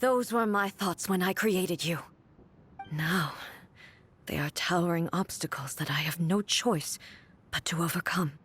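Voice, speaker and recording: Ei, a resolute goddess ruler. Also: female voice, on the low side, unhurried, slight background noise. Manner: firm, determined, quiet resolve. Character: resolute female god-ruler defying her past. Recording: game audio with loud music behind.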